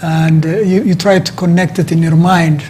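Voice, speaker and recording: Higher-pitched middle-aged Ethiopian-accented man. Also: fairly even intonation, darkish tone, a little hiss or hum. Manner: halting, repeats words as he explains. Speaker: interview guest, activist. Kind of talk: conversational interview answers.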